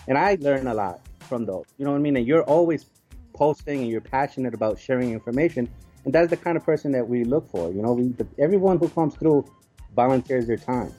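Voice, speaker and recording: Chill mellow guy being interviewed as an organizer, casual, kinda halting, faint music behind. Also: mid-range voice, expressive. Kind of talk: casual explanatory interview talk.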